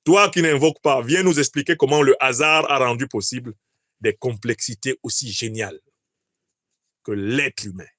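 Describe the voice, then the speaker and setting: A man giving an oratorical political commentary, declamatory, with emphatic pauses and rhetorical questions. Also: mid-range voice, a bit bright, dry recording, studio quality. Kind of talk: oratorical analysis of a speech.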